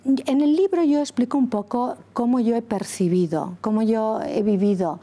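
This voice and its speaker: Middle-aged woman from catalonia, mellow soft tone, answers slow and careful, lots of restarts. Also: fairly high pitch. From Catalonia, Spain. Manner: deliberate, with frequent pauses and self-corrections. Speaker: interview guest, former school principal. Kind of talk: earnest interview answers.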